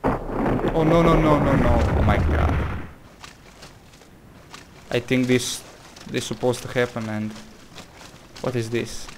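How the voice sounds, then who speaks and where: A man's voice: mid-range voice, slow, pauses often, background noise. Croatian-accented man narrating gameplay. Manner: reads game text aloud, hesitant, exclamatory. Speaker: gameplay commentator. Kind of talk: casual let's-play commentary.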